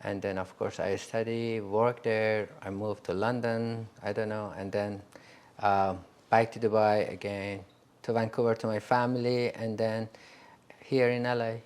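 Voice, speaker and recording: A man's voice: on the low side, flat delivery, a little slow, a little mellow, clear, resonant tone. A man from Iran who grew up partly in Dubai, a friendly interview guest with loose phrasing. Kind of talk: casual conversation.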